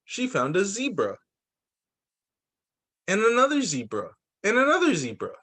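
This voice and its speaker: A man teacher reading picture books aloud to young children, with deliberate, sing-song phrasing. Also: sits high, wildly swooping pitch, fairly bright tone.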